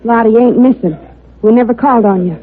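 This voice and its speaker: A woman's voice: on the high side, brisk, lightly acted. An actress playing a tough, slangy young woman ballplayer in a hard-boiled old-time radio drama. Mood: warning. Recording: tinny old radio, faint crowd.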